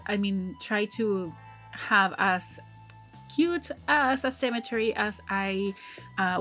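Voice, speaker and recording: A woman in her thirties, recovering from a cold, giving casual, rambling voice-over commentary that she often corrects midway, with frequent laughs. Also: medium pitch. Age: 30s. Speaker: game builder channel host.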